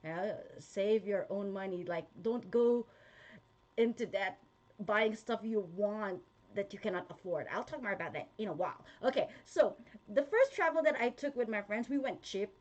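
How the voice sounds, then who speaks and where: A woman's voice: mid-range voice, moderate pace, darkish tone, muffled recording. A young woman with a Filipino accent, chatting casually to her viewers, with frequent laughs and fillers. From Philippines. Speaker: vlogger. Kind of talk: casual talk to viewers, reading comments.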